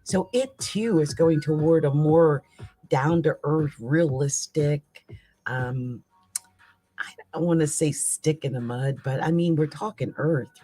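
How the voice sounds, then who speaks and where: Woman, raspy voice, live astrology host. Loose, conversational delivery with emphatic asides. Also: sits low, dark tone. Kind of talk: casual live astrology chat.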